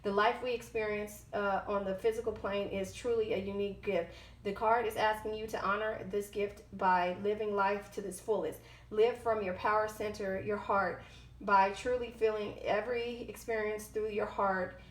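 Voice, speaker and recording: A woman giving an informal tarot and oracle card reading, partly read aloud, halting and often correcting herself as she goes. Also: medium pitch, steady tone. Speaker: tarot card reader, host. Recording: roomy, echoing sound.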